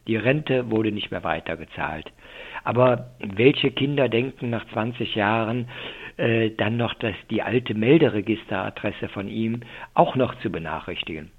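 A man's voice: lowish voice, lively intonation, moderate pace, creaky, husky. Older man on a thin phone line. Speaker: interviewed expert, professor. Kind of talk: explanatory radio phone interview. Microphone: telephone. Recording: muffled, thin telephone line.

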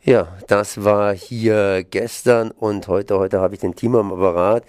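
A man's voice: lowish voice, steady tone, measured pace, darkish tone, no echo, studio quality. A man hosting an informal radio interview, putting questions to his guest.

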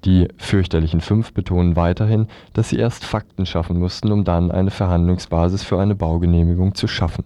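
A man's voice: low voice, steady tone, brisk, hardly any pauses, dark tone, dry recording. A man presenting scripted news on the radio.

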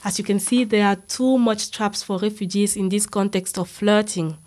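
A woman's voice: mid-range voice, fairly even intonation, normal speed, darkish tone. Woman co-hosting a scripted podcast. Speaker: podcast co-host.

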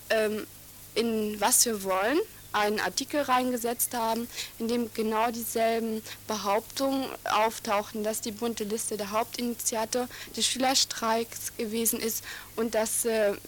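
Young woman, halting student activist. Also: a little high, steady tone, slight background noise. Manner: halting, many fillers and restarts, laughing asides. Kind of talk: radio interview.

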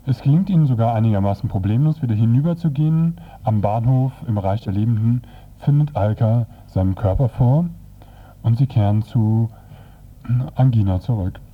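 A man presenting on radio, retelling a comic's story in a dry, hesitant, semi-improvised way with a husky voice. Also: mid-range voice, normal speed. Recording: dull, lo-fi radio recording.

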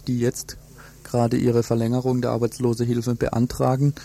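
A man reads a scripted radio news report. His voice is soft-edged and mellow, with little movement in pitch. The delivery is measured and matter-of-fact. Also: vocal fry.

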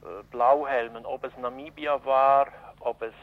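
Halting man on a dull radio recording. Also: mid-range voice, steady tone. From Switzerland. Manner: halting, searching for words, repeating himself. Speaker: interviewee, journalist. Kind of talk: spontaneous interview commentary.

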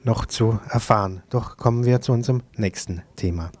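A man's voice: lowish voice, moderate pace, a little mellow. A man reading scripted news as a radio presenter.

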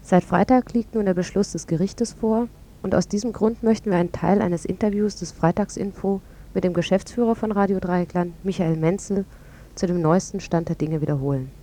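A woman's voice: on the low side, fairly quick, dark tone. A woman presenting a scripted radio news report in a factual, orderly way. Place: radio studio.

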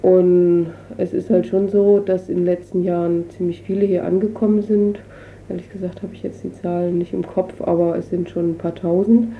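Woman, low pitch, very muffled lo-fi sound, faint noise, hesitant colloquial interviewee. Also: level tone, normal speed, gravelly. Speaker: interviewee, refugee council representative. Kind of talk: spontaneous interview explanation. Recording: dull lo-fi radio recording, noisy.

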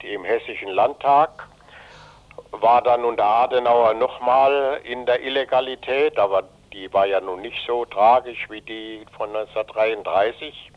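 Radio interview guest: man, 80s, from Germany, emphatic and deliberate with pauses, dull band-limited sound. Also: medium pitch. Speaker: interview guest, retired journalist and anti-fascist activist. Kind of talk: radio interview, political account.

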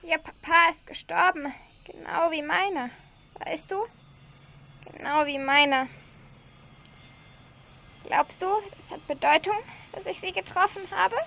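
A woman's voice: very high-pitched, a little slow, creaky, long pauses, bright, forceful tone, heavily acted. A woman playing a lonely, caring old grandma, fussing and sighing in an amateur radio play. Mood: sad, longing. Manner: fussing, chatty, sighing, uses southern German dialect words.

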